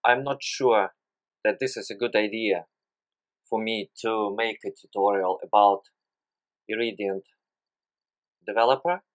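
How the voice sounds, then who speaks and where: Slow, halting Russian-accented man. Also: fairly low pitch. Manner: hesitant, frequent "uh" pauses, careful non-native phrasing. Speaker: photography tutorial host. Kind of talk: screen-recorded software tutorial.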